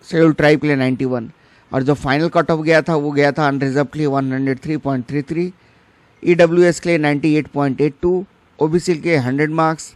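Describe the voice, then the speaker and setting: A man's voice: a little high, a little mellow, dry recording. A man presenting an informational explainer, instructing viewers directly and mixing English terms into his speech. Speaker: exam-preparation channel host. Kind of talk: informational explainer for exam candidates.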